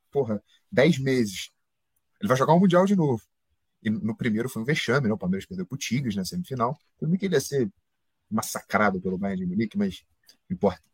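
Husky excitable man, podcast co-host. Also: medium pitch, no room sound. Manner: excitable, swears a lot, jokey. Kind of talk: casual football chat. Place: home studio, live stream.

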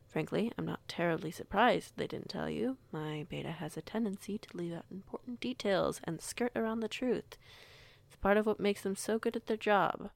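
A woman playing a courteous, gently authoritative werewolf pack leader in an audio roleplay, with formal, measured wording. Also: medium pitch, vocal fry, no echo, close to an everyday voice. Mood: wry, composed.

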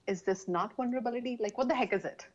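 A woman who speaks English as a second language, chatting casually as a guest author, animated, laughing often and restarting her sentences. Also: fairly high pitch, very fast, highs cut off. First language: not English. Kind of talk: casual interview chat.

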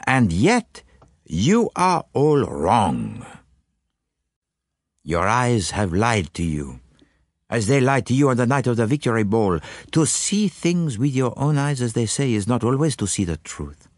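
A man voicing a self-assured, courteous and exacting detective in an audiobook, with a pompous, deliberate manner. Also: mid-range voice, sing-song, no echo, studio quality, voice pushed up. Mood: solemn, knowing. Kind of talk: audiobook character voice.

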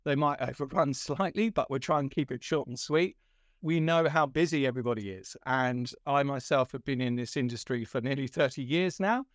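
A man's voice: mid-range voice, brisk, no room sound, clean studio sound. A man in his fifties hosting a podcast interview, friendly and rambling, with stammered restarts and chuckles. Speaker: podcast host.

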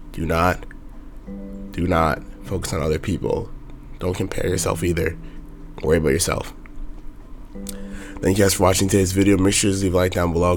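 Young male motivational host, very low voice, raspy and hoarse, flat delivery, faint music underneath. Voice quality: raspy, monotone. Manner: plain-spoken, repeats his point over and over. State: hoarse from a sore throat. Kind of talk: casual motivational monologue.

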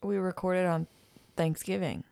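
A woman co-hosting a podcast in casual chat, with a gravelly voice and a drowsy, yawning manner. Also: on the low side, steady tone, measured pace, a little mellow, no echo, clean studio sound. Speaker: podcast co-host. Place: United States.

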